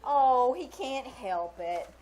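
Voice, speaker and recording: A woman's voice: high-pitched, lively intonation, slow pace, a little mellow. A woman playing a sweet young niece in an old-time radio comedy. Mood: soothing. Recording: noisy live stage recording.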